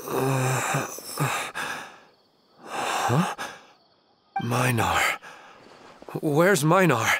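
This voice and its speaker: A man voicing a bewildered, earnest husband in a video game, halting in his speech. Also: mid-range voice, lively intonation, fairly bright tone, a little hiss or hum, exaggerated voice. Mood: dazed. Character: dazed, earnest married man, haunted by dreams.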